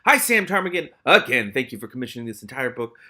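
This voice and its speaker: A man's voice: medium pitch, big pitch swings, fast talker, pushed and bright. A man hosting a read-aloud of a novel, reading dramatically and breaking off into casual, exclamatory, sweary commentary. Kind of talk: expressive audiobook-style reading with casual reactive commentary.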